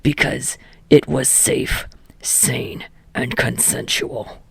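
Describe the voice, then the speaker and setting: A woman's voice: very deep voice, fairly even intonation, takes it slowly, creaky, slightly rough, dry recording, in a whisper, voice pushed down, exaggerated voice. A woman voicing a surly, sardonic, foul-mouthed teenage boy in a story reading, with a biting mutter.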